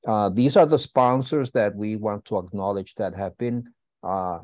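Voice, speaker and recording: A man hosting an online school session, giving housekeeping announcements in a halting way with many uh fillers. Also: on the low side, darkish tone, dry recording. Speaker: host and school founder. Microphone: video call.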